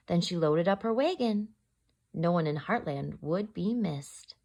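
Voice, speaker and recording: A woman's voice: on the low side, expressive, a little slow, a little mellow. A woman storyteller reading a children's picture book aloud expressively, addressing young listeners directly. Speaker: storyteller, host.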